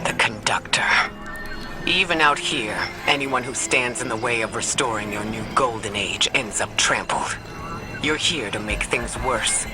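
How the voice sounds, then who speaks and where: A man's voice: medium pitch, measured pace, lightly acted. A man as a grave sci-fi ally, music behind. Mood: stern, urgent. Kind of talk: video game cutscene acting.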